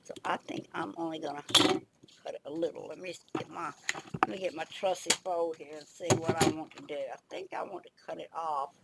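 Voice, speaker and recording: Older woman host, slightly under the weather, raspy and gravelly, with a low voice and a chatty, unhurried tutorial manner. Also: fairly even intonation, very noisy. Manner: chatty, thinks aloud while working. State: slight head cold, raspy. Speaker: craft video host. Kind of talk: casual crafting tutorial.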